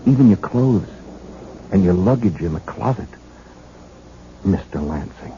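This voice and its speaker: An actor playing a shrewd, folksy detective who needles suspects in a deliberate, triumphant way, in 1970s radio drama style. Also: male voice, sits low, expressive, warm tone. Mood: smug, needling. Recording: muffled, hissy 1970s radio recording.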